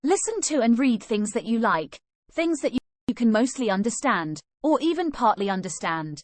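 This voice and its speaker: A woman's voice: on the high side, sing-song, moderate pace, dry recording. A woman reading a scripted language lesson aloud, moving from sample questions and answers to study tips.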